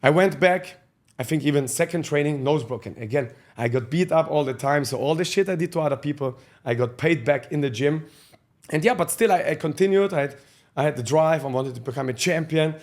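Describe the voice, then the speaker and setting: A man's voice: fairly high pitch, a little fast, darkish tone. A man. He is a podcast guest telling his life story candidly in blunt, profane English with loose grammar. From Austria. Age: 30s. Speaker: guest, fight coach.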